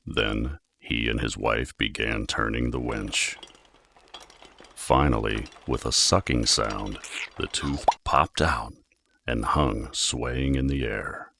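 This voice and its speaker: Male audiobook narrator for children's tale: bottomless pitch, fry-edged yet bright, measured and expressive. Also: slight background noise. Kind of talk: children's storybook narration, scripted.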